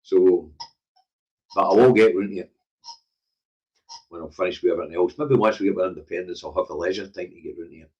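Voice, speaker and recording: Man, Glaswegian Scottish accent, lowish pitch, husky, blunt, opinionated, conversational livestream commentary. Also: brisk, pauses often, darkish tone, highs cut off. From Scotland. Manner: blunt, emphatic, sweary, conversational. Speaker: livestream current affairs show host. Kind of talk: opinionated casual live commentary.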